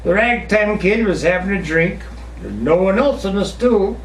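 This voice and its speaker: A man reciting a narrative ballad in a theatrical, expressive storytelling manner with drawn-out pauses. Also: high-pitched. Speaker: poetry reciter. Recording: roomy, distant mic with faint noise.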